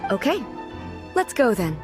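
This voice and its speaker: A woman's voice: a little high, big pitch swings. A woman voicing a composed, regal ruler in a video game, formal and curious in a literal-minded way. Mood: puzzled. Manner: measured, formal, inquisitive. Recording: music behind the voice.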